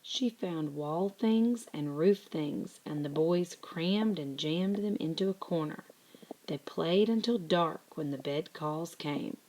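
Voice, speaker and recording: Female storyteller for children's picture book: low register, unhurried, deliberate, expressive scripted narration. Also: slight background noise, low-quality recording.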